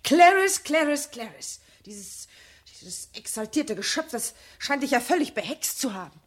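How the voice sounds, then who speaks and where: A jealous, shallow wife who lives for television, in 1970 German radio play style. Her voice is high and swings widely as she frets and repeats herself petulantly. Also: big pitch swings, measured pace, full character voice. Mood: jealous, mocking. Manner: petulant, agitated, repeating words.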